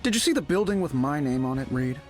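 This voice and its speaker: An actor playing a glib, egotistical inventor superhero in a video game, wry and casual. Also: male voice, on the high side, lively intonation, fast talker, a little mellow, faint music behind, close to an everyday voice. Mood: wry, teasing.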